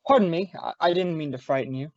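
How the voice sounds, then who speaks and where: Woman playing low-voiced easygoing police inspector. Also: quick pace, darkish tone, dry recording, close to an everyday voice. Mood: polite. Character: easygoing, teasing male homicide police inspector. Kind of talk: old-time radio detective acting. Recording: dull lo-fi audio, very dry.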